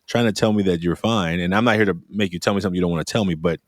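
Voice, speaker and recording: A man's voice: sits low, racing, no echo, clean studio sound. A man hosting a podcast, speaking casually in a reassuring, encouraging, conversational way. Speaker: podcast host.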